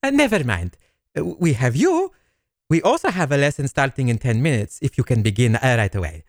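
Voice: mid-range voice, sing-song, fairly quick, no echo, studio quality. An actor playing a flustered, polite foreign manager of a language school, hesitant and stammering in a comedy drama. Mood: apologetic.

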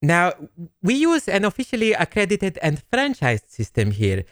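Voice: mid-range voice, wildly swooping pitch, moderate pace, no room sound, clean studio sound. An actor playing a flustered, polite foreign manager of a language school, hesitant and stammering in a comedy drama. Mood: businesslike.

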